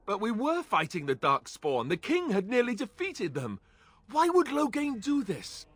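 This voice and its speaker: An actor playing an earnest, boyish young warrior in a video game, emotional and halting when flustered. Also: male voice, high voice, wildly swooping pitch, a bit bright, no room sound, exaggerated voice. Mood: indignant. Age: young adult.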